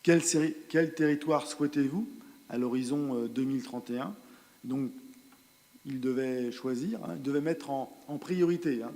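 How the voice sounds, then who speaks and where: Man, mellow tone, meeting presentation, hesitant and repetitive phrasing, explanatory, reverberant hall recording. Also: medium pitch. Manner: hesitant, halting delivery with repeated words. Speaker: presenter of a territorial project at a council meeting. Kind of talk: spoken presentation of survey results and strategy, partly improvised.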